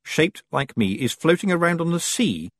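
A man voicing a practical young girl witch who talks briskly and firmly. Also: on the high side, very animated, no echo, studio quality, voice pushed up. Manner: brisk, commanding.

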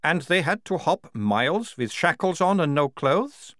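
A man voicing an army captain in a storybook, gruff and clipped, with a commanding manner. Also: a little high, very animated, unhurried, a bit bright, no echo, clean studio sound, voice pushed up. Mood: incredulous.

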